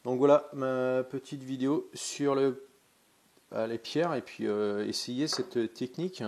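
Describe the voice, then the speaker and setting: A man's voice: medium pitch, fairly even intonation, a little slow, a little mellow, slight background noise. A man with a cold, casually demonstrating something in a vlog and talking in a hesitant, chatty way with many fillers and restarts. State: has a cold. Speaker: pendulum dowsing vlogger.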